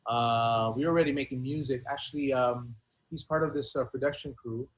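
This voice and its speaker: A man's voice: medium pitch, fairly even intonation, brisk, poor audio. A man, a rapper and producer, talking casually as an interview guest in loose, hesitant phrases that often repeat words.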